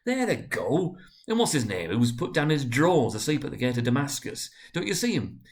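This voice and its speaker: A man voicing an excitable old miser who exclaims with childlike glee as he relives his boyhood in a storybook reading. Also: medium pitch, brisk, pitched above the performer's own voice. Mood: ecstatic. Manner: exclaiming, swinging between glee and pity.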